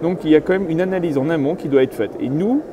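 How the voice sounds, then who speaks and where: A man's voice: a little high, fast talker. A middle-aged man giving spontaneous interview answers as a property consultant, explaining things step by step. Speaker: real estate agent and consultant being interviewed. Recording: clear urban background noise, trade fair interview.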